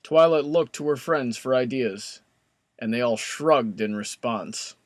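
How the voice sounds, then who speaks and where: A man's voice: mid-range voice, unhurried, no room sound, studio quality. A man reading scripted story narration as the narrator of a comic tale.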